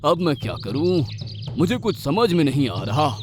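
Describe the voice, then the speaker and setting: A man's voice: fairly high pitch, very animated, normal speed, noisy background. Man voicing a pompous cartoon bird king. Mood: troubled. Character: male parrot king and worried father bird. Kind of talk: animated moral story dialogue.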